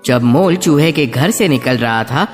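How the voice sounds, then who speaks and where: A man's voice: medium pitch, lively intonation, unhurried, long reverb tail, faint music behind. A man narrating a scripted fairy tale for children as a storyteller.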